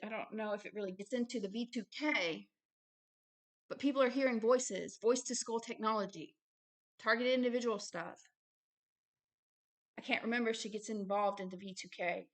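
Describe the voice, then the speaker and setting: A woman with a fairly high voice delivers religious commentary, moving between reading aloud and her own explanations. She is emphatic and often repeats a phrase to drive it home. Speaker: host, self-described prophet reading a book aloud with commentary.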